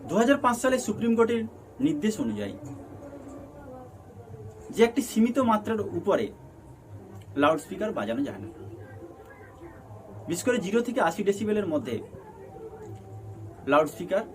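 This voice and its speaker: A Bengali-accented man presents a news explainer in a high-pitched voice. His intonation swings widely as he breaks sentences into halting, emphatic chunks. There is some noise behind him. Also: big pitch swings, darkish tone, poor audio. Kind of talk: scripted news explainer narration. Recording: noticeable background noise.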